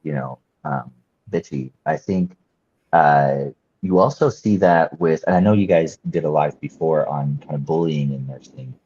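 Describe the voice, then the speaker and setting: A man's voice: rumbling low, normal speed, darkish tone, slightly rough, no room sound, dull audio. A male nurse on a panel, talking thoughtfully and hedging with fillers. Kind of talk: casual panel chat.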